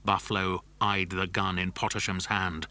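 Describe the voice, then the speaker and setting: A man's voice: low voice, level tone, moderate pace, noisy background. A man narrating a scripted children's adventure story. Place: dry studio.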